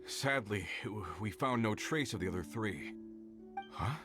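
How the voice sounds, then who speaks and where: A man's voice: on the low side, a little slow, natural voice. A man voicing an earnest, polite mining foreman in a video game, speaking with hesitant concern. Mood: relieved, puzzled. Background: music behind the voice.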